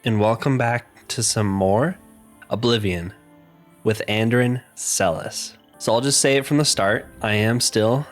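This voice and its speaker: A man's voice: on the low side, faint music behind. A congested man giving easygoing, chatty commentary while playing a video game. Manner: easygoing, chatty, quick laughs. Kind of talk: casual let's-play commentary.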